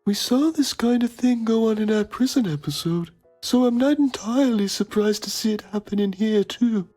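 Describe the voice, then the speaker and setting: A self-important television journalist played by a man narrates a mock documentary for a game. His voice is mellow and husky, his manner solemn and pompous. Soft music runs faintly behind him. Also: sits high, normal speed. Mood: grave, pompous.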